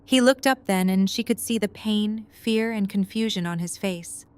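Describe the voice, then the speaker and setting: A woman's voice: medium pitch, moderate pace, a little mellow, smooth. A woman reading scripted third-person story narration for an audio drama. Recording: faint background noise and ambience.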